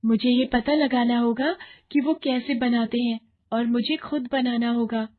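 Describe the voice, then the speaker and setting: Woman voicing a high-pitched, earnest poor girl. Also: steady tone, a little slow, narrow, tinny audio, close to an everyday voice. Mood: determined. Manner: pleading, earnest. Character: poor, earnest, hard-working orphan girl. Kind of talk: Hindi moral cartoon story acting.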